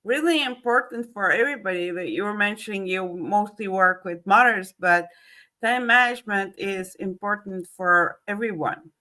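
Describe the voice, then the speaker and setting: Estonian-accented woman host, bright clear tone, friendly interviewer, casual questions. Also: medium pitch, moderate pace. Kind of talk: online interview.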